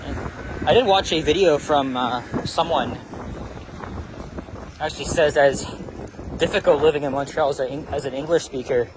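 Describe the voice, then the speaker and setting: A man's voice: a little high, normal speed, pauses often. A man chatting casually while walking a city street, rambling and restarting his phrases as he talks. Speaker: walking tour vlogger. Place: busy city street outdoors. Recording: street traffic noise behind.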